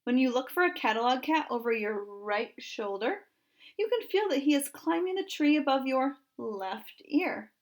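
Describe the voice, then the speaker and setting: A woman voicing a calm, patient storybook father, measured and gently teasing as he explains things. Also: high-pitched. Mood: mock-serious explaining.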